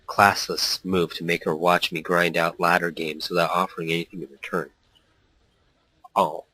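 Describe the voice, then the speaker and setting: A man's voice: low voice, sharp tone, breathy edge, no echo, poor audio. A man reading a story aloud and chatting casually as a let's player, prone to rambling. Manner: hyped, tangent-prone. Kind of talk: narration mixed with casual rambling commentary.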